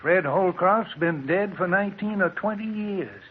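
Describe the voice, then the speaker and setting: A man's voice: high voice, measured pace, a little hiss or hum. An actor playing an older male townsman who talks in a folksy drawl in a 1940s radio drama. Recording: tinny old radio broadcast.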